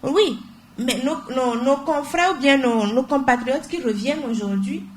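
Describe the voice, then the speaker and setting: A woman's voice: sits high, normal speed, a little hiss or hum. A young woman, speaking as a co-host in a radio talk show discussion and driving home her points by repeating phrases. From Togo. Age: young adult. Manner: emphatic, repeats phrases for weight.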